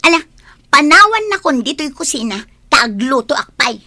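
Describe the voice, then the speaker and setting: A woman's voice: high voice, very animated, normal speed, sharp tone, no room sound, full character voice. A woman playing a stubborn, defiant young village maiden in a radio drama, with pert, emphatic delivery. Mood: defiant, irritated.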